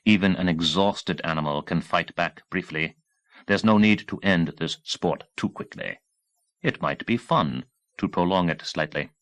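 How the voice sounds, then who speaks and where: A cruel military officer voiced by a male audiobook reader gloats over his prey in a low voice. His delivery is measured and calculating, and the sound is dry and close. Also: fairly bright tone, dry recording. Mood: cruel relish. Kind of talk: dramatic audiobook reading.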